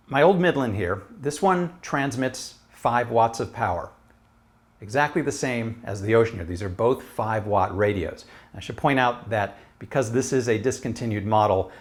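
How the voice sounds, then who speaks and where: Low-pitched American man reviewing gear. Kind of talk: explanatory product review.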